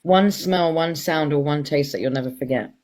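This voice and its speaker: A woman interviewer putting casual quick-fire questions in an informal, brisk, directing way. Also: deep voice, a little mellow.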